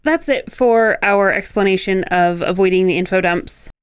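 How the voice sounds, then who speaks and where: A woman's voice: medium pitch, normal speed, smooth, no echo, studio quality. A woman co-hosting a writing podcast, explaining points in a conversational way.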